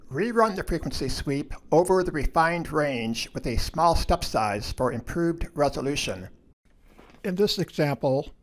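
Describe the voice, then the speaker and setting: A man with a moderately high-pitched voice narrates a scripted technical lesson. He moves through each instruction in a measured, procedural way, as a lab instructor would.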